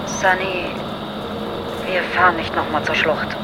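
A woman's voice: on the low side, steady tone, measured pace, lightly acted. An actress playing a blunt, dry-witted woman astronaut leader in a radio drama. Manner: blunt, teasing. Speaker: mission commander Anna. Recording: loud storm noise behind.

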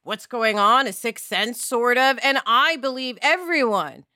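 Female panelist, bright and fairly high voice, wry and conversational, close dry sound. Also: animated, measured pace, no room sound. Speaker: podcast panelist. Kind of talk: casual panel discussion.

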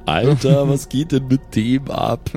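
A man's voice: medium pitch, steady tone, slow, warm tone. A man bantering casually and in slang as a player in an improvised tabletop role-playing game. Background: background music.

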